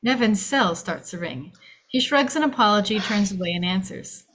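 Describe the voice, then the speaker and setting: A woman's voice: mid-range voice, animated, moderate pace, fairly bright tone, a little hiss or hum, poor audio. Woman narrating screenplay stage directions. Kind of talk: screenplay table read narration.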